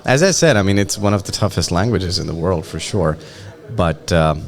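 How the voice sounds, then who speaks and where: A man's voice: low-pitched, animated, moderate pace. A man hosting a podcast interview, asking questions and giving encouraging responses. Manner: encouraging, many short backchannels. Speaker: podcast host. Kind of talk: casual interview.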